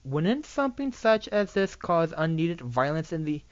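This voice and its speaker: A wary elder-sister pony princess, as an amateur reader puts her on in a storybook reading. She questions things cautiously in a mid-pitched voice, recorded dry on a dull microphone. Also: sing-song, moderate pace, no echo, natural voice.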